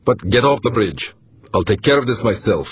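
A ruthless space mercenary commander, played by a male narrator in a very deep, rough rasp. He is paranoid, hard and menacing, every phrase an order. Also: normal speed, dull audio, exaggerated voice. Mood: cold fury. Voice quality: raspy.

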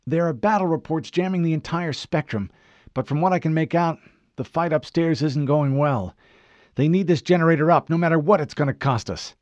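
A woman's voice: sits low, brisk, darkish tone, no echo, highs cut off, pitched above the performer's own voice, lightly acted. A man voicing a tense female soldier. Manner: clipped military reports.

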